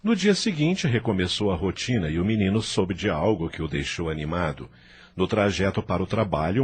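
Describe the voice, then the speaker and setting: A man's voice: sits low, breathy edge. A man narrating a scripted audio novel as its storyteller.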